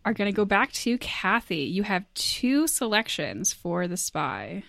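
A woman hosting a game show in a lively conversational style. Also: mid-range voice, unhurried, gravelly.

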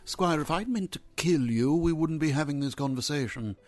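A man's voice: fairly high pitch, animated, moderate pace, heavy background noise, lightly acted. A man playing a formal, guarded butler with a terse manner in a radio theater drama. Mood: guarded, warning.

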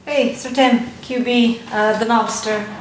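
A woman's voice: a little high, steady tone, measured pace, reverberant, crowd noise, low-quality recording. Chatty Swedish woman leaving a message. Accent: Swedish with an Irish and London mix. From Sweden. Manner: chatty, self-deprecating, rambling. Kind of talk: recorded listener message.

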